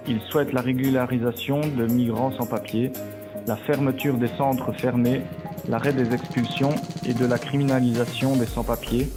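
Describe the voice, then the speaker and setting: A young adult man, a student, reading scripted narration. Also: medium pitch. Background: music.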